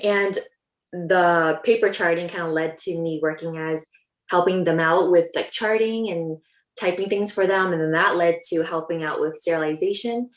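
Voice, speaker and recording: A woman's voice: fairly low pitch, fairly even intonation, moderate pace. A young woman from Canada, a dental hygienist, talking casually and upbeat with lots of ums. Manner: chatty, upbeat, many ums. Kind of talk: casual talk to a live audience. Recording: echoey room.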